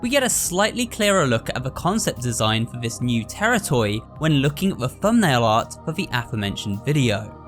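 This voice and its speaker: A man hosts with scripted narration. His mid-pitched voice moves in a lively way, with soft music behind it. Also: fairly quick, few pauses. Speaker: video host. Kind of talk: scripted narration, trailer breakdown.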